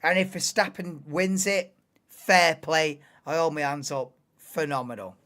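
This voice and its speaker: Hoarse, excitable woman calling a race. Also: low voice, a little slow. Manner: excitable, bursts into shouts and laughter. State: losing voice, hoarse. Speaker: channel host, live race commentator. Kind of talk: live watch-along race commentary.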